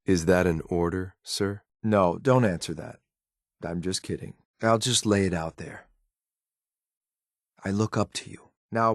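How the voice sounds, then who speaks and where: Deadpan low-voiced synthetic soldier. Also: male voice, no room sound, clean studio sound. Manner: clipped, military, deadpan. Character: stoic, loyal synthetic soldier, dry and ruthless in combat. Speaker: companion character in a video game. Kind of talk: scripted game voice lines.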